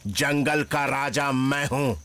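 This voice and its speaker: Menacing, slow-drawling tiger king. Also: medium pitch, clean tone. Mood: scheming menace. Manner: imperious, threatening, slow drawl. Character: menacing male tiger, proud self-declared jungle king. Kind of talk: Hindi children's cartoon dub. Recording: loud music behind the voice.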